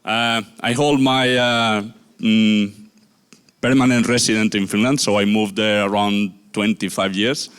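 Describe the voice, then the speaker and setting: A middle-aged man from Spain with a Spanish accent, giving a conference talk in a halting way with many filler pauses. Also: on the low side, flat delivery, fairly bright tone, clean tone. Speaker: conference speaker.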